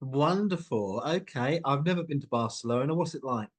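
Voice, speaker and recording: A man's voice: medium pitch, animated, normal speed. A man hosting a short interview, asking friendly questions in an upbeat, encouraging way and often restarting his phrases. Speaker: interview host, company CEO.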